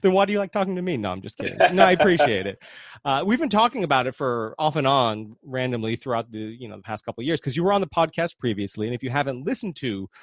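A man's voice: medium pitch, very animated, fast, no room sound, studio quality. A man hosting a podcast and interviewing a guest in a casual, conversational way.

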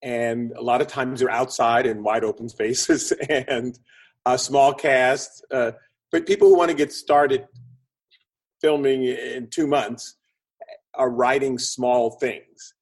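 A man's voice: mid-range voice, fairly even intonation, slow. A middle-aged or older man giving casual interview answers as a guest, chatty and explanatory, thinking aloud as he goes. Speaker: podcast guest, film producer and script consultant.

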